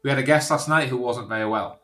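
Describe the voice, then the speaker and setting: A man's voice: medium pitch, fast talker, fairly bright tone. A man chatting informally as a podcast guest, telling stories in a sweary, casual way. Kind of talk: casual anecdote-telling chat.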